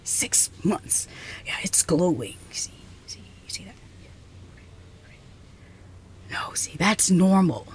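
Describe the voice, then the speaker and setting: A woman playing a haughty, flustered reclusive scientist in a close ASMR roleplay, halting and repeating herself as she gives orders. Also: fairly low pitch, lively intonation. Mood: intrigued, proud. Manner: halting, repetitive, sighing, imperious.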